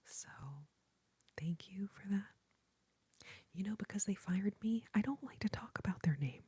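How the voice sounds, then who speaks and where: Breathy whispering woman. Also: medium pitch, normal speed, dry recording. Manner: soft unvoiced whisper, heartfelt and grateful. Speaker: ASMR YouTuber hosting a livestream. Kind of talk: whispered ASMR livestream chat.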